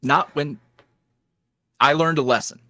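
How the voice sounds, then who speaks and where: A man talking candidly as an interview guest about his work as an audio creator, with quick self-deprecating asides. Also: fairly high pitch, bright, forceful tone, no echo, studio quality. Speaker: guest, ASMR roleplay creator. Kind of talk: casual interview chat.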